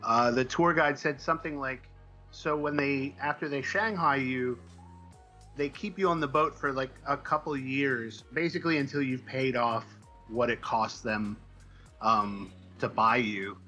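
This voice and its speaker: An American man with a bright, forward voice talks as a guest in a casual interview. He tells stories in a halting, wandering way at a middle pitch. There is some music behind him. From Midwestern United States. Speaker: comic book writer, interview guest. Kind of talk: casual conversational storytelling.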